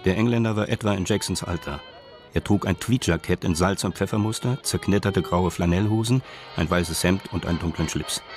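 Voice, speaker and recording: Deep-voiced man narrating, music underneath. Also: fairly quick, a little mellow. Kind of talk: scripted narration of a radio crime drama.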